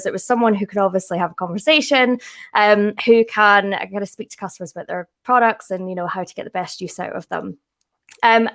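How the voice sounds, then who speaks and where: A Scottish woman chatting informally and modestly as a guest in a casual interview. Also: mid-range voice. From Scotland. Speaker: podcast guest, tech solutions specialist.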